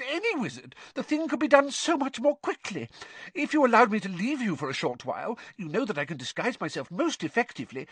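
A cringing male wizard henchman, squeaky and very high-pitched. He pleads anxiously with his master, his words stammering and tumbling out quickly, with a slightly husky edge. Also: no room sound, heavily acted.

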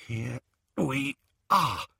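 A man's voice: high-pitched, sing-song, unhurried, bright, forceful tone, breathy edge, no echo, studio quality, voice pushed up, exaggerated voice. A man voicing an earnest, anxious teenage girl, polite yet insistent, in a storybook reading. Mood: urgent. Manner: urgent, careful, insistent.

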